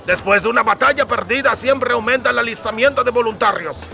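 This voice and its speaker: A man voicing gruff, sardonic dwarf soldiers in a fantasy audiobook, blunt and given to swearing. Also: extremely high pitch, brisk, pushed and bright, soft background music, voice pushed up, exaggerated voice. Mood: wry. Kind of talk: dramatised fantasy audiobook dialogue.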